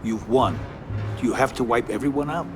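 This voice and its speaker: An actor playing a cautious, measured mafia family advisor in a game cutscene. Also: male voice, mid-range voice, over music, lightly acted. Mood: wary. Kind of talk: video game cutscene acting.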